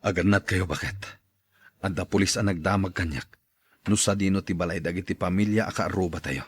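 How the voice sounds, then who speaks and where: A man's voice: sits low, fairly quick, husky. A man playing a wary older village father in an audio drama. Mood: worried.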